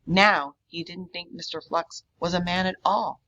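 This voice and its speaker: A woman reading a children's book aloud in an expressive manner. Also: fairly low pitch, unhurried, muffled recording. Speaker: read-aloud reader.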